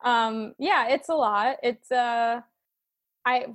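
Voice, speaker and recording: A woman's voice: fairly high pitch, takes it slowly, fairly bright tone. An American-accented woman chatting casually as a comedian guest in an interview, informal and giggly.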